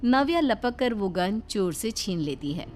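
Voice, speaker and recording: A woman's voice: mid-range voice, big pitch swings, measured pace, darkish tone. A woman reading scripted narration as a storyteller.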